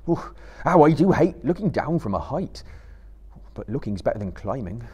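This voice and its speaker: A man's voice: medium pitch, big pitch swings, normal speed, a little mellow, husky, voice pushed up. A man voicing a gloomy, stubborn male hobbit servant with rustic turns of speech. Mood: grumbling, stubborn.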